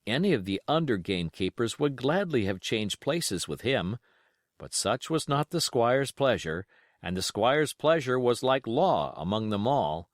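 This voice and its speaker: A man narrates classic adventure fiction in a middle-pitched voice. His delivery is measured and expressive, rising and falling in an animated way. The sound is dry, with no room around it. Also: dry recording. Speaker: audiobook reader.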